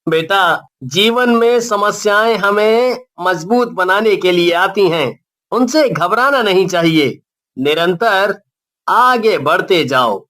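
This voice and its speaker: A woman's voice: mid-range voice, lively intonation, slow pace, a bit bright. A woman voicing a kindly, wise male schoolteacher who gives fatherly advice in a cartoon story. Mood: kind, reassuring. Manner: fatherly, advising.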